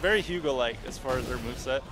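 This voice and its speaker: A man's voice: mid-range voice, fast. A man chatting casually and informally as a game developer in a livestream interview. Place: gaming event venue. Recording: music behind the voice. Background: music.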